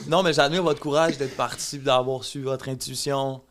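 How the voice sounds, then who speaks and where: Chatty podcast host. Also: male voice, mid-range voice, fairly even intonation, normal speed, a crowd in the background. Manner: rambling questions full of fillers. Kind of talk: casual interview.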